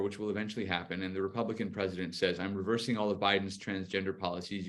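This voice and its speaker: A man giving conversational political commentary as a guest speaker, articulate and explanatory, arguing point by point. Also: fairly low pitch.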